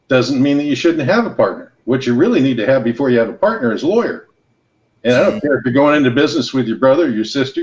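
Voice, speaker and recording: Podcast guest, male, laid-back storyteller, slightly muffled lo-fi sound. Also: mid-range voice. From Los Angeles and Hawaii. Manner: relaxed, storytelling, advice-giving. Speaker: guest entrepreneur. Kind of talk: casual podcast conversation.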